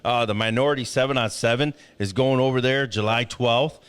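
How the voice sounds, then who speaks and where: A man's voice: medium pitch, steady tone, fairly quick, fairly bright tone. A man hosting a podcast, upbeat and enthusiastic as he wraps up the talk. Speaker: podcast host.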